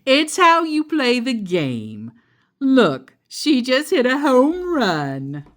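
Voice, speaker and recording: A woman's voice: high-pitched, wildly swooping pitch, slow pace, a bit bright, voice pushed up, exaggerated voice. A woman voicing an easygoing, fair-minded father bear in a storybook, with an emphatic, reassuring manner.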